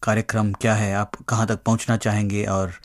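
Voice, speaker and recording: A man's voice: on the low side, level tone, fairly quick, dry recording. Man interviewing on radio, dry close sound.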